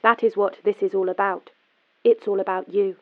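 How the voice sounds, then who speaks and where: A woman's voice: medium pitch, normal speed. Eerie automated caller, female, uncanny politeness, tinny phone-line sound. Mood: eerie polite. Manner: polite, flat, oddly formal. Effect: phone line filter.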